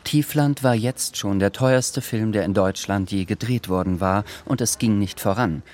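A man's voice: on the low side, moderate pace, a little mellow. A man giving scripted literary narration as the storyteller of a radio drama.

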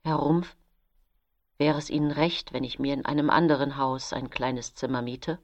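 Formal period dialogue, muffled. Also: female voice, rumbling low, fairly even intonation, moderate pace, a little mellow, no room sound. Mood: curt. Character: characters quoted in a 19th-century poisoning case, formal and sharp. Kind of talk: radio play dialogue.

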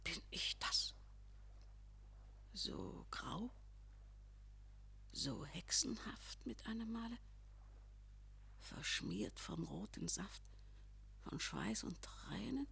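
An actress playing a weary, fearful, brooding lady in a poetic radio play, with a dramatic, halting delivery. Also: mid-range voice, expressive, in a whisper, full character voice. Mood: whispered dismay. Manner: dramatic, halting, drifting into breathy hush. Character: weary, fearful, brooding lady sensing sudden old age.

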